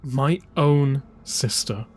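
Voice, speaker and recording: A man's voice: mid-range voice, very slow, darkish tone, a little hiss or hum. A man giving a dramatic scripted narration as an audiobook narrator. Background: music under the voice.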